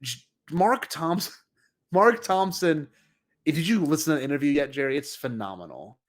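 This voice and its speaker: A man with a fairly high voice co-hosts a casual podcast. He talks in an animated, enthusiastic way with a joking streak. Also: moderate pace. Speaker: podcast co-host.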